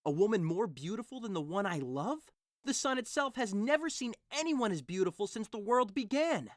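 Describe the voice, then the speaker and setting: A man's voice: high voice, big pitch swings, normal speed, dry recording. Guy acting lovesick young noble, melodramatic radio play style, swoopy intonation, close dry sound. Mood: passionate, indignant. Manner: dramatic, emotive. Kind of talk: audio drama acting of modernized Shakespeare.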